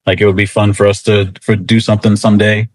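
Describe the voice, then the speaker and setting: A man's voice: on the low side, flat delivery, fast talker, dry recording. A man speaking casually as an interview guest, rambling and self-deprecating. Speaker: interview guest, comic book writer.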